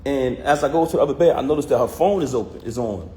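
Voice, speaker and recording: A man speaks straight to his viewers, recounting a personal story in a heated, emphatic way with plenty of street slang. His voice sits at an ordinary male pitch. The room gives it a noticeable echo. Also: quick pace, warm tone. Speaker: vlogger recounting a personal conflict. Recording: roomy echo.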